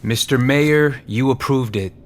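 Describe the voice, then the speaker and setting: An actor playing a cautioning, level-headed police chief in an audio drama, measured and respectfully firm. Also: male voice, medium pitch, close to an everyday voice. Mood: firm, concerned. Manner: measured, pushing back respectfully.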